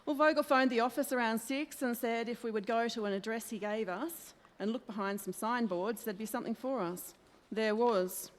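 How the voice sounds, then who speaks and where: A woman's voice: fairly high pitch, moderate pace, natural voice. A woman playing an eager, affectionate newspaper colleague in an old-time style radio play. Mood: pleased, informative. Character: eager, affectionate young female newspaper reporter's sidekick. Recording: echoey hall, long reverb.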